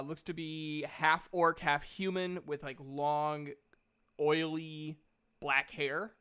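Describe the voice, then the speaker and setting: A man running a tabletop game as game master, improvising descriptions haltingly as he searches for words. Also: fairly high pitch, fairly bright tone, smooth.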